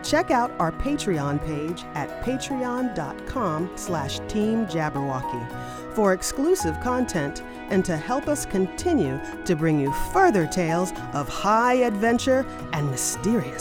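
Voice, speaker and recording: A woman presenting and reading in a polished, expressive scripted narration style. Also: on the low side. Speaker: presenter and reader.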